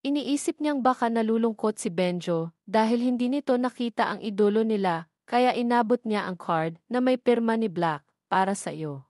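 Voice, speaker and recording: A woman's voice: fairly high pitch, moderate pace, a little mellow, no echo, clean studio sound. A woman reading a scripted romance story as a dramatic narrator.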